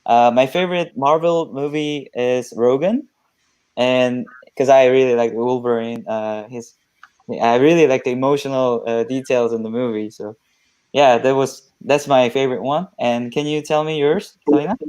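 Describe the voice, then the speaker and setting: A young man in his twenties from South Korea with a Korean accent, hosting a live online event over a video call and often pausing to search for words. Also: mid-range voice, fairly even intonation, darkish tone, clear, resonant tone. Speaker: event host.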